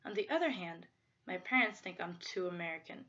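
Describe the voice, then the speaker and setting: A woman's voice: fairly low pitch, expressive, fairly quick, pushed and bright. A young woman from Korea, with Korean as her first language, reading a prepared personal essay in an earnest, reflective way. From South Korea. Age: young adult. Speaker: student presenter. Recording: dull lo-fi recording.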